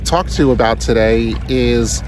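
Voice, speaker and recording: A man's voice: mid-range voice, moderate pace, over loud music, rough recording. A man in his forties, recorded outdoors on a small sailboat with a phone at arm's length, talking through how to sail the boat. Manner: friendly, explanatory, talks while sailing. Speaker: sailing instructor. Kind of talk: casual instructional vlog. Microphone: phone camera held at arm's length.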